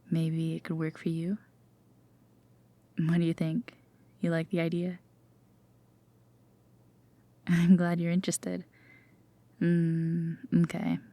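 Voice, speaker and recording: Woman doing a sweet teasing girlfriend, soft breathy half whisper, mellow and really unhurried, sleep aid roleplay. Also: deep voice, no echo. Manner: hesitant, tender, gently playful. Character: gentle, teasing, affectionate girlfriend soothing a sleepless partner. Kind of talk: intimate roleplay sleep-aid audio, soft bedtime reading.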